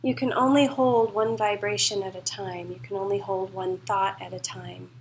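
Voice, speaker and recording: A woman leading a guided meditation as a teacher, speaking in a soothing, unhurried and reassuring way. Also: mid-range voice, steady tone, gravelly, a little hiss or hum. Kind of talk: guided meditation, spoken live to a class.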